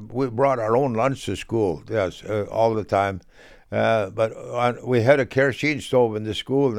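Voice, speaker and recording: Gravelly centenarian Midwestern man. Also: fairly low pitch, a little mellow, no room sound. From rural Minnesota. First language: Norwegian. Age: about 100. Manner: halting, folksy storytelling. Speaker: interviewee, auctioneer. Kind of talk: recorded reminiscence.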